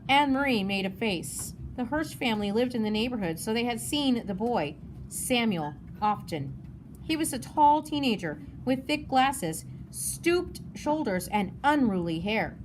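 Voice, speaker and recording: A woman's voice: fairly high pitch, expressive, unhurried, slight background noise, poor audio. A woman narrating a children's novel as a read-aloud.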